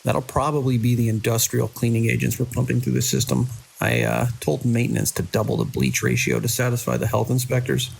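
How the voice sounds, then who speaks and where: An actor playing a controlling male hospital director in an audio drama, brisk and dismissively reassuring as a crisis grows. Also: mid-range voice, expressive, vocal fry, breathy edge, full character voice, rough recording. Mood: businesslike, reassuring.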